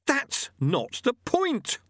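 A man's voice: on the high side, huge pitch swings, normal speed, fairly bright tone, no room sound, studio quality, voice pushed up, exaggerated voice. A man voicing an earnest young male apprentice monk who sounds anxious and incredulous. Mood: uneasy.

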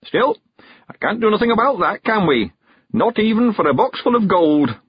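A man's voice: sing-song, slightly rough, no echo, muffled recording, full character voice. A man reading an audiobook, voicing a cheerful, stubborn ninety-one-year-old countryman with a rustic dialect. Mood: chatty. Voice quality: rustic dialect, quavery and high, drawn-out words.

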